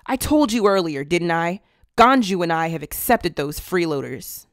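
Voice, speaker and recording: A woman's voice: lowish voice, expressive, normal speed, no room sound, studio quality. A woman playing a tough, bossy big sister who scolds bluntly in an anime dub. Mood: blunt reassurance. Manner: blunt, commanding. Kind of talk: anime fan dub acting.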